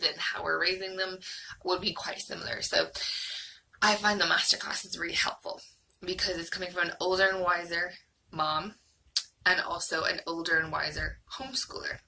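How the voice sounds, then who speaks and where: A woman's voice: fairly low pitch, fairly even intonation, moderate pace, creaky, bright, forceful tone, a little hiss or hum, low-quality recording. An Irish woman in her thirties giving a casual, hesitant, rambling review, like a homeschooling mom vlogging.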